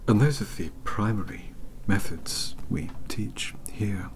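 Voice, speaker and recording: Casting: male actor for young bookish British mentor of vampire hunters, low hushed ASMR delivery, hesitant, courteous, awkwardly formal. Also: slow. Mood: earnest, scholarly. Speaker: ASMR roleplay performer. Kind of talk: soft-spoken whispered ASMR roleplay.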